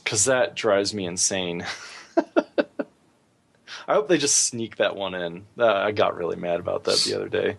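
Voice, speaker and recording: A man's voice: deep voice, moderate pace, creaky, long pauses, fairly bright tone, slightly rough. A man co-hosting a gaming podcast, talking casually and giving his opinions freely. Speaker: podcast co-host.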